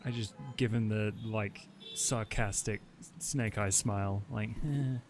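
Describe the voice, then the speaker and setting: A man's voice: fairly low pitch, slow, slight background noise. A man taking part as a player in a tabletop game, talking in a laid-back, dry way.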